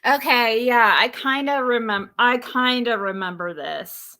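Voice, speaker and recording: A woman's voice: on the high side, measured pace, bright, forceful tone. A young woman hosting a livestream, chatting in a rambling, self-correcting way as she reacts to an old clip. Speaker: livestream host. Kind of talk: casual livestream commentary.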